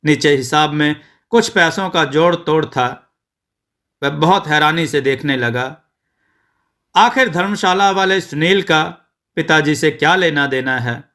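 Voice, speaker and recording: A male narrator tells a scripted moral story. His voice is clear-edged and bright, sitting a little high. He takes his time, setting out each short phrase at a slow, deliberate pace. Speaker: narrator of devotional moral stories.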